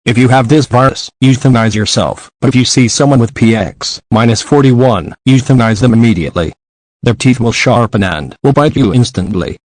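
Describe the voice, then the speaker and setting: A male announcer delivering an official emergency broadcast at a steady, even pace. His voice sits in a middle pitch and sounds dry and close. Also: moderate pace, dry recording, poor audio. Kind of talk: synthetic emergency broadcast reading.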